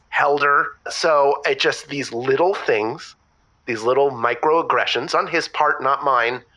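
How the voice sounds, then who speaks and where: Bright-voiced, petty radio caller. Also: male voice, fairly high pitch, poor audio. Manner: exasperated, defensive, mimicking. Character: aggrieved, petty male radio caller griping about his partner. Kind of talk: chatty comedic call-in complaint.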